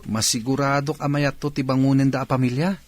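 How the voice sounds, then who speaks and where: An actor with a Filipino accent playing an eager, confident older brother in a village radio comedy drama. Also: male voice, mid-range voice, level tone, a little fast, natural voice.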